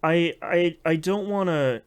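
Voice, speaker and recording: A man with a fairly high voice chatting on a game podcast. He talks in a lively, hesitant way, full of restarts and "like," as he critiques a game. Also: measured pace, clean tone. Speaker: podcast co-host. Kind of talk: casual chat.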